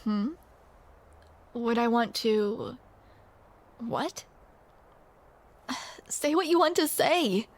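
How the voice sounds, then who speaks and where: A woman's voice: on the high side, lively intonation, very slow, pauses often. A young woman performing a scripted romantic roleplay to the listener, with playful, teasing banter.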